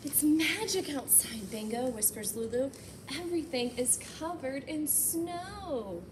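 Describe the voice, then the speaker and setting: A woman reading a picture book aloud as a storytime host, with expressive, animated delivery and chatty asides aimed at young children. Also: sits high, unhurried, background noise.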